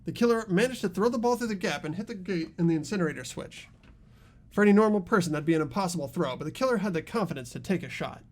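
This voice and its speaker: Man acting an earnest high-pitched schoolboy detective. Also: expressive, fast talker. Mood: grave, determined. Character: earnest high school boy laying out a murder case. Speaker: amateur voice actor in a game playthrough. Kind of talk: dramatic dubbed narration of a mystery game.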